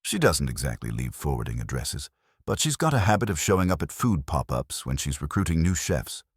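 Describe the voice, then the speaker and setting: A man's voice: a bass voice, sing-song, a little fast, slightly rough, no echo, studio quality, full character voice. A man voicing an offhand kitchen worker giving directions in a conversational way. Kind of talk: audiobook dialogue.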